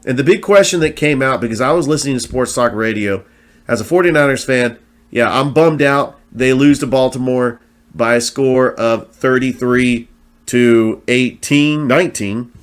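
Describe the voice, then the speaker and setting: A man hosts his own sports show in a lively, casual monologue. He talks with a broadcaster's energy, full of fillers and quick restarts. His voice sounds a little worn as it comes back after being lost. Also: medium pitch. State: recovering from lost voice.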